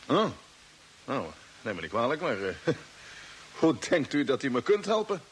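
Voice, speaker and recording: An actor playing a determined expedition commander in an old radio drama, with a commanding, earnest manner. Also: male voice, medium pitch, big pitch swings, a little slow. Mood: puzzled. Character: determined male space expedition commander on a Mars mission. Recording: old radio recording with faint hiss.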